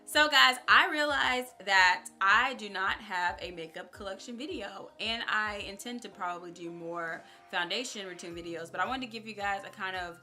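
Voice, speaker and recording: A young woman casually chatting to camera as a beauty vlogger, upbeat and talking straight to her viewers, her voice a little raspy. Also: medium pitch, moderate pace, hardly any pauses, bright, forceful tone, faint music behind. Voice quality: slightly raspy.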